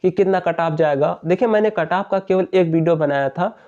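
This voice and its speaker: A man's voice: high-pitched, fairly quick, a little mellow. A man explains updates to viewers directly and informally, repeating his points, in a muffled lo-fi voice-over. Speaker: exam update channel host.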